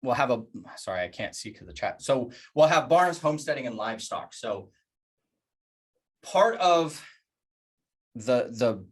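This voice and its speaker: A man's voice: mid-range voice, big pitch swings, unhurried, long pauses, slightly rough. Earnest man giving a public address. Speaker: organization leader presenting plans.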